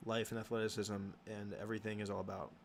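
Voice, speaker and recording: A young man hosting a casual livestream Q and A, answering chat questions in a halting way with many ums and restarts. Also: fairly low pitch, flat delivery, vocal fry, slight background noise.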